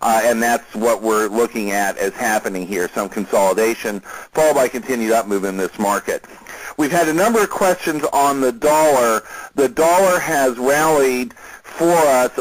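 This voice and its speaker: Man giving measured stock market commentary. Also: medium pitch, low-quality recording. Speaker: market analyst hosting a client call. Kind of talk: informal market commentary on a conference call.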